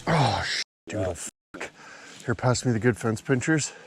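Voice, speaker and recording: Male vlogger, mid-pitched, crude joking chat outdoors with some background noise. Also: expressive, measured pace. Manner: foul-mouthed, joking. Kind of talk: casual outdoor banter. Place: outdoors in a yard and driveway. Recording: noisy outdoor recording, vehicle and ambient noise.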